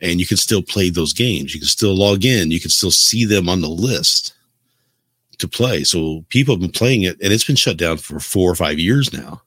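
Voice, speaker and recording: Casual male gaming podcast co-host, deep register, explanatory storytelling delivery, dry sound. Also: lively intonation, moderate pace, a bit bright, slightly rough, dry recording. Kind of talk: casual chat about video games.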